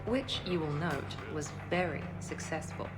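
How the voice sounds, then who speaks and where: A woman's voice: deep voice, lively intonation, unhurried. A woman playing a self-assured former operative in a video game, composed and precise with a dry edge. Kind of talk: scripted game dialogue. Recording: music under the voice.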